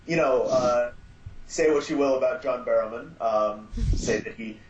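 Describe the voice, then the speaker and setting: A man chatting as a podcast guest, talking haltingly with many fillers and restarts. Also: medium pitch. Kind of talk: casual podcast chat. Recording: roomy, dull lo-fi sound with faint noise.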